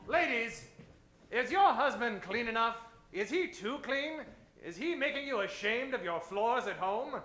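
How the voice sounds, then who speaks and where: A man plays an old-time radio serial announcer, grandiose and suspenseful. His very high, bright voice rings out theatrically in a roomy space. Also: animated, unhurried, full character voice. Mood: salesmanlike. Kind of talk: 1940s radio announcing parody. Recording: roomy stage sound, faint music.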